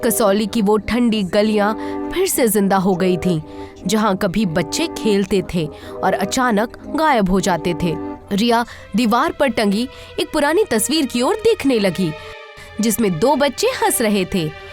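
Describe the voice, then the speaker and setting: A woman's voice: mid-range voice, sing-song, unhurried, over music. A woman telling a scripted horror story as narrator, in a suspenseful, theatrical manner.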